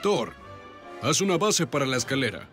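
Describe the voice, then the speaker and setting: A man's voice: mid-range voice, very animated, moderate pace, natural voice. A man voicing a confident, hearty talking machine that gives commands to a rescue team in a children's cartoon dub. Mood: commanding. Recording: loud music behind the voice.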